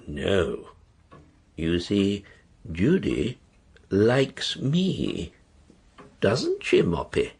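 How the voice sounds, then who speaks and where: A creaky, wavering old mother. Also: a bass voice, huge pitch swings, drawn-out words, pauses often, a little mellow, slight background noise, exaggerated voice. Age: older. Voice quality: creaky, breathy, swooping voice. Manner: rambling, wavering. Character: elderly mother, fussy and wandering. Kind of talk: radio drama acting.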